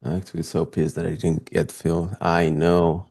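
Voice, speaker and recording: A young man from Spain, speaking English with a Spanish accent, talks through live trades on a stream. His voice sits very low. He stays casual and chatty with viewers and gets excitable. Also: moderate pace, creaky, breathy edge. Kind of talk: live market commentary.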